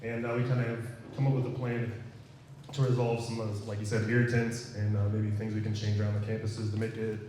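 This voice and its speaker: Flat-voiced man in an echoey room. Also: fairly low pitch, fairly quick, distant mic, noisy background. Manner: plain, slightly hesitant with uhs. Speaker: employee council member. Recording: echoey hall.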